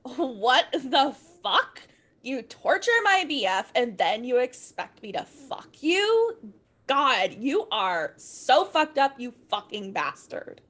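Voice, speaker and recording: A melodramatic teen goth heroine played by a woman, high-pitched and very animated. She takes her time over each line, stretching it theatrically for a comic dramatic reading. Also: takes it slowly, heavily acted. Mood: indignant.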